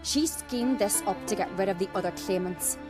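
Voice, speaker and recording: An actress playing a shrewd, cool-headed woman in a fantasy game, speaking in a knowing, measured way. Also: medium pitch, music in the background, lightly acted. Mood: shrewd, certain. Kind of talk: dramatic fantasy game acting. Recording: dramatic score behind dialogue.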